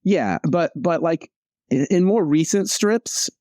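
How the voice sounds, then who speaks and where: Male interview guest, casual and rambling, self-correcting, with a warm, relaxed tone and dry sound. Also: on the high side, takes it slowly, no echo. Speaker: comic strip cartoonist, interview guest.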